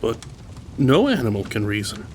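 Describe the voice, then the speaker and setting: With rain behind, an earnest young gentleman hunter in an audio drama. His pitch is medium but moves widely, giving a principled, incredulous delivery. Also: huge pitch swings, moderate pace, slight background noise. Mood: puzzled, incredulous. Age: young adult.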